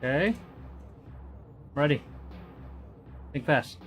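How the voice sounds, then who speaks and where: A man doing live game commentary, excitable, reading story text aloud and muttering phrases over to himself. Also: a little high, drawn-out words, loud music behind the voice. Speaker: streamer.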